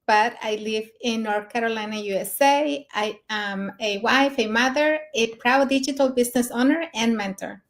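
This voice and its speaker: A woman's voice: fairly high pitch, normal speed, hardly any pauses. A woman introducing herself as co-host on a live online show. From Mexico. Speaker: co-host, mentor.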